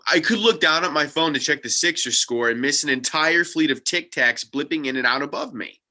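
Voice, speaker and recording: A man's voice: fairly high pitch, fairly quick, bright, forceful tone. A man acting an upbeat, earnest UFO-hunting sidekick who consoles and encourages, in a comedy table read. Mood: casual, musing.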